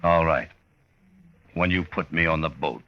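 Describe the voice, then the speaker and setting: A man's voice: rumbling low, unhurried, noisy background. An actor playing a cocky, suspicious American hitman on the run, in clipped, sardonic hard-boiled radio crime drama style. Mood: cool, guarded. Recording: muffled old radio recording with music.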